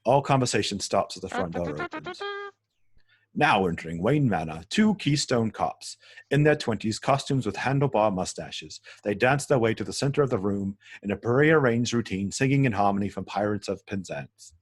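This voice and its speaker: Husky, lowish male narrator. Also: animated, normal speed, no room sound. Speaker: stage-direction narrator. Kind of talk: scripted narration at a table read.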